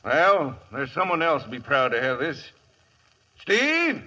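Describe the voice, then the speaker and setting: An actor playing a hearty, folksy cavalry sergeant in a vintage radio western, exclaiming in homespun talk. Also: male voice, on the high side, wildly swooping pitch, slow pace, sharp tone, exaggerated voice. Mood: eager. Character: folksy, hearty male cavalry sergeant, Civil War veteran. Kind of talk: 1950s radio western acting. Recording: muffled vintage radio recording.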